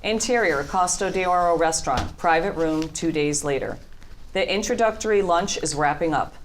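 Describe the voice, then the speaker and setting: A woman with a low voice reads stage directions at a script read-through. The delivery is scripted narration, with faint room noise behind it. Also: moderate pace.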